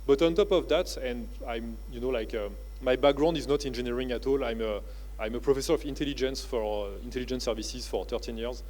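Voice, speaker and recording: Male keynote speaker, French accent, mid-pitched, halting delivery full of fillers, conference stage talk. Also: darkish tone, poor audio. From France. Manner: halting, with many "uh" and "you know, like" fillers. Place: convention centre stage.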